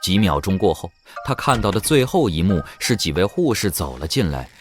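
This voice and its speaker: Male narrator, lowish pitch, very expressive, dramatic audio drama storytelling, music behind. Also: fairly quick. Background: background music.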